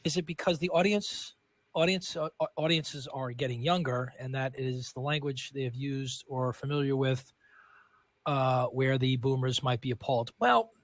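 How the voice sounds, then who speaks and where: A man's voice: medium pitch, normal speed. A man with a New York accent, hosting a podcast in a chatty way with many restarts. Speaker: podcast host. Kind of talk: casual podcast conversation.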